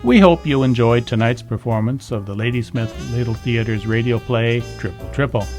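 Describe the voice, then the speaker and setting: A man's voice: medium pitch, normal speed, over music. A man reading a scripted radio announcement as the announcer.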